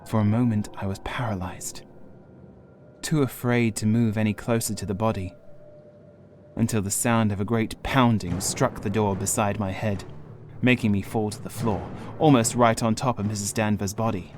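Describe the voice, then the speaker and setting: Low-voiced man narrating horror over music. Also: fairly even intonation, moderate pace. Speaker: audiobook narrator. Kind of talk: dramatic scripted horror narration.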